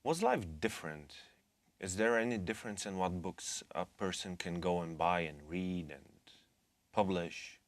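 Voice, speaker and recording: Deep-voiced male interviewer. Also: animated, a little slow, no room sound. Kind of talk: interview questions.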